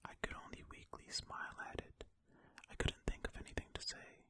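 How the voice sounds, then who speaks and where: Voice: fairly quick, sharp tone, no echo. An ASMR host whispering ear to ear in a tentative, musing way, reading and commenting close to the microphone. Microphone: close stereo ASMR microphone.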